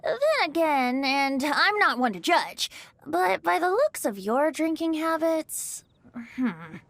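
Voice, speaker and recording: A woman's voice: high voice, big pitch swings, slow, vocal fry, fairly bright tone, dry recording, heavily acted. A woman voicing a teasing, sweetly knowing sorceress in a video game, coy in manner. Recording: faint music behind.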